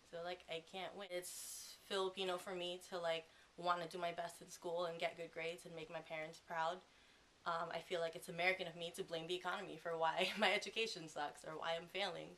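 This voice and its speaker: A woman's voice: fairly low pitch, fairly bright tone, a little hiss or hum. A young woman answering interview questions in a chatty way, with lots of fillers.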